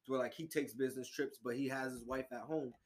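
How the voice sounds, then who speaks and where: A man's voice: medium pitch, steady tone, quick pace. A man co-hosting a casual podcast about relationships, talking in a laid-back way and often saying "you know what I'm saying". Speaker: podcast co-host.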